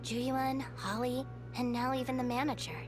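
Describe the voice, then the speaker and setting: A woman voicing a wise, detached police investigation android in a video game, speaking in a measured, formal, matter-of-fact way. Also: sits high, level tone, over music, close to an everyday voice. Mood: detached, philosophical.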